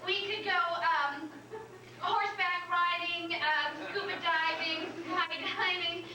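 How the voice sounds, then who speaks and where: A woman's voice: very high-pitched, steady tone, takes it slowly. A woman playing a nervous, gushing young first-time comic who stammers and rambles in a staged reading. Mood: nervous, fumbling. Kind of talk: staged reading before an audience. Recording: roomy, distant, noisy live recording.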